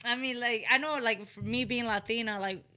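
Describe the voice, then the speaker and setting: A woman's voice: fairly high pitch, steady tone, brisk, bright, forceful tone. A woman hosting a casual interview, speaking in an encouraging, slangy way with frequent "you know". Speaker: interview show host.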